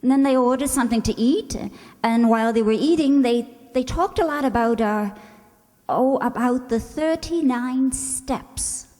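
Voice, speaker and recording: A woman's voice: sits high, unhurried, mellow. A woman playing a spirited young woman in a radio play, by turns anxious and breezily sarcastic. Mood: earnest. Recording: echoey hall, long reverb.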